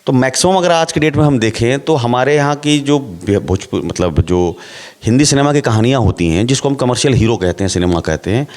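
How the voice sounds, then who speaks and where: Middle-aged actor explaining at length. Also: male voice, mid-range voice, expressive, fairly quick, darkish tone. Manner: explains at length with rhetorical questions. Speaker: actor, interview guest. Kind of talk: relaxed interview talk.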